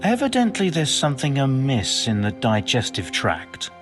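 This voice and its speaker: A learned monk physician from a video game, whose pitch swings expressively as he lectures. He is pompous, bookish and a little absent-minded, yet well-meaning, with faint music underneath. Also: huge pitch swings, moderate pace. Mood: scholarly, brisk. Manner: bookish, wordy and formal. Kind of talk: video game cutscene acting.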